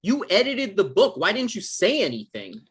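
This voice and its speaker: A man's voice: sits high, wildly swooping pitch, normal speed, slightly rough, heavily acted. A man playing a naive, defensive novelist in a comedy table read.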